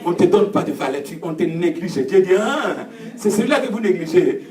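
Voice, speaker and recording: A man preaching with call and response in a church hall, fervent and repetitive, often shouting. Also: sits high, animated, fairly quick, a crowd in the background. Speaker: pastor. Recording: echoey hall, distant mic, congregation noise. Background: congregation answering.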